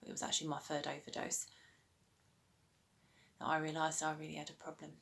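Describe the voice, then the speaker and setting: A woman acting a dramatic monologue as a recovering drug addict, weary and candid, speaking in a measured, deliberate way with pauses. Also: low-pitched, completely flat delivery, vocal fry, a bit bright. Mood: candid, rueful. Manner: measured, deliberate, pausing delivery.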